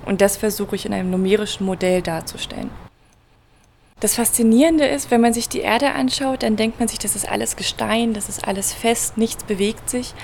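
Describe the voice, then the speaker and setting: A woman's voice: mid-range voice, normal speed, gravelly, darkish tone. Woman scientist explaining patiently, faint noise. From Berlin, Germany. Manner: explains patiently with everyday examples, some fillers. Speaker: planetary scientist, interviewee. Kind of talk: conversational explanation. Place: event venue.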